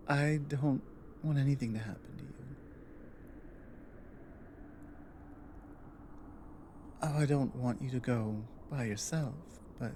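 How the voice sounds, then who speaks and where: A woman playing a strong, gentle orc warrior in an intimate ASMR roleplay, soft-spoken and halting, thoughtful and earnest. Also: low-pitched, slight background noise. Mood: worried, tender.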